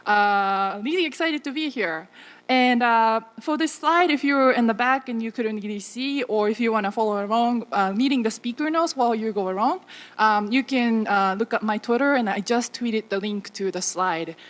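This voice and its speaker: A woman's voice: fairly high pitch, normal speed. A woman in her thirties from Japan with a Japanese accent gives an informal, chatty conference talk as a non-native English speaker. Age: 30s. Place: large auditorium stage, indoors. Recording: echoey hall with long reverb.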